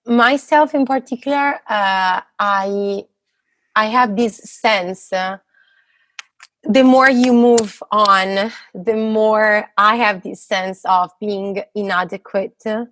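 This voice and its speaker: A woman's voice: mid-range voice, fairly bright tone, no room sound. A woman in her thirties with an Italian accent, a physicist answering interview questions casually, hesitant and full of fillers and restarts. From Italy. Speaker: guest, postdoctoral physicist.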